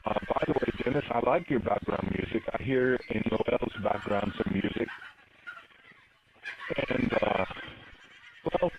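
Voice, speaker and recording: A man chatting casually over an amateur radio link, reflective and halting as he searches for words. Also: on the low side, creaky, noisy background. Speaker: amateur radio conference participant. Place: voice heard over internet-linked ham radio. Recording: muffled, dry digital radio link audio.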